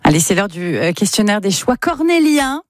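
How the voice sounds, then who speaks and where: Lady host doing an interview, normal pitch, chatty n playful questions, dry studio-like sound. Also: very animated, normal speed, dry recording. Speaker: radio or show host interviewing a guest. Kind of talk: conversational interview.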